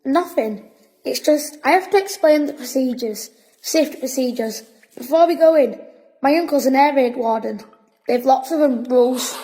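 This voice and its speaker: A woman's voice: high voice, normal speed, warm tone, low-quality recording. A woman playing a bossy, boastful wartime boy who tells spooky tales, in an audio drama. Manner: officious, storytelling.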